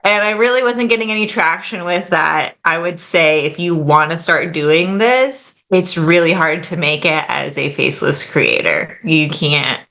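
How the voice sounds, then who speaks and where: Bright-voiced chatty woman in her late twenties. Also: deep voice, unhurried, vocal fry, hardly any pauses. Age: late 20s. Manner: chatty, fillers like "like" and "kind of", laughs easily. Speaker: podcast guest. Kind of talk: casual interview chat.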